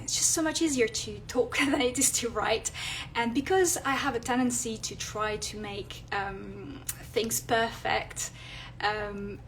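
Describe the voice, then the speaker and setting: A woman's voice: medium pitch, rough recording. Woman with a French accent for a casual live vlog, hesitant and unpolished, slight background noise. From France. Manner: hesitant, many fillers and restarts. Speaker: illustrator and vlogger. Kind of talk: live video chat. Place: indoors at home, near a street.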